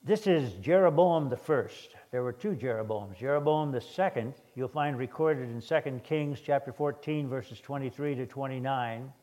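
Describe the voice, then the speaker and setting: An older man preaches in a hoarse voice, often stumbling and restarting mid-sentence. His delivery is plain and unhurried as he reads scripture and comments on it. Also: medium pitch, darkish tone. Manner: halting, many restarts and fillers. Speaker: guest preacher. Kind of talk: sermon.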